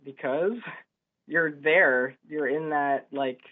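Through a tinny telephone connection comes an eighteen-year-old man with a fairly high voice. He is a caller debating casually, halting often and restarting his sentences. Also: steady tone. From upstate New York, United States. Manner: hesitant, halting, stammering restarts. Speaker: radio show caller. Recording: narrow, tinny phone line.

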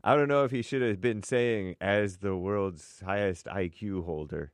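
A man co-hosts a casual chat and answers in a dry, skeptical, understated way. His voice is fairly low, and the close recording has no room sound. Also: unhurried, clean tone, no room sound. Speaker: co-host.

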